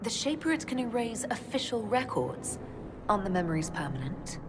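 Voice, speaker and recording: An actress playing a composed, inquisitive elf mage leader in a video game, with a calm, formal and probing manner. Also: female voice, mid-range voice, brisk, background noise, natural voice. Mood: curious, composed. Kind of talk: dramatic video game dialogue.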